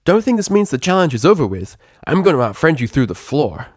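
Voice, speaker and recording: A man's voice: on the high side, big pitch swings, fairly quick, no room sound, clean studio sound, voice pushed up. A man voicing a brash, boastful tomboy pony girl with a slangy, swaggering delivery in a story reading. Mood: challenging. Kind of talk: character voice in a fan fiction reading.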